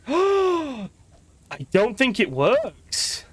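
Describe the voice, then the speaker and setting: Lively, expressive man rambling over a game. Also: very high-pitched, very slow. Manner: rambling, chatty, thinking aloud. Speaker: game streamer. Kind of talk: casual live gameplay commentary.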